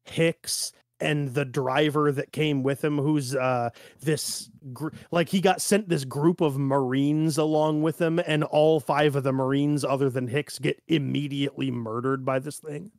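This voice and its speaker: Higher-voiced man chatting, dry close sound. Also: steady tone, a little mellow, no echo. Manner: halting, peppered with "like". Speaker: podcast co-host. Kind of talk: casual discussion from notes.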